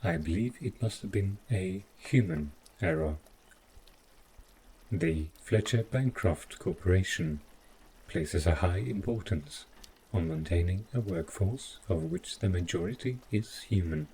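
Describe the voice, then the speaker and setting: A man playing an overprotective, stiffly polite robot butler in an audio roleplay, speaking in formal, deliberate phrases. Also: low voice, dark tone, a little hiss or hum, rough recording. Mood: evasive, insistent. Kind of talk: scripted audio roleplay addressed to the listener.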